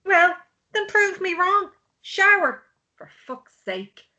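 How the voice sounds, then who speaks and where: A woman's voice: extremely high pitch, very animated, takes it slowly, sharp tone, lo-fi sound, exaggerated voice. A woman playing a blunt, worried, exasperated sister of a grieving drunk in a drama table read. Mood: angry, exasperated. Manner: blunt, pleading.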